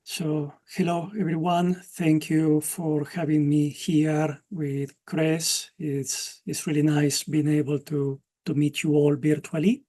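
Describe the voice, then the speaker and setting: A man's voice: fairly high pitch, slow, few pauses. A man from Argentina with an Argentine Spanish accent, giving an earnest webinar presentation over a video call. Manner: earnest, speeds up when excited. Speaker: utility program presenter.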